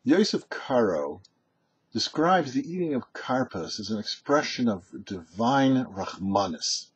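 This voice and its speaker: A man who is a rabbi reads a prepared religious lesson in a measured, explanatory way with emphatic pauses. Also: medium pitch, sing-song, husky, lo-fi sound. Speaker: rabbi hosting a weekly Torah teaching show. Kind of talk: prepared religious teaching, read aloud.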